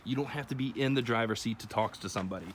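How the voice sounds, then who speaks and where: A man's voice: mid-range voice, racing, a little hiss or hum. A man hosting a casual product review to camera in an informal, plain-spoken, conversational way. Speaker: video host.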